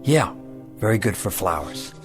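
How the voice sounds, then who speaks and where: A kindly pawnbroker worn down by debt. His mid-pitched voice swings expressively between wry exasperation and gentle resignation. It is radio drama, with faint music underneath. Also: sing-song, moderate pace. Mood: polite, distracted. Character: kindly, debt-ridden, weary male pawn shop owner.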